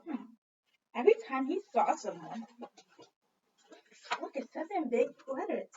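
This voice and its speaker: A woman teacher doing an expressive read-aloud for children, telling the story dramatically and prompting listeners with questions. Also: sits high, unhurried, breathy edge. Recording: dull lo-fi sound, distant from mic.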